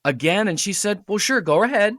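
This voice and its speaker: A middle-aged man, a radio drama producer and writer, chatting casually as a podcast guest and telling his stories with lively quoted dialogue. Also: sits high, brisk. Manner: storytelling, animated, quotes others in dialogue. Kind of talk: casual chat.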